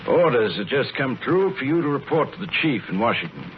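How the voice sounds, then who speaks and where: An actor playing a brisk, genial army general in a 1940s radio drama. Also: male voice, medium pitch, sing-song, lightly acted. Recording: muffled old radio recording, faint noise.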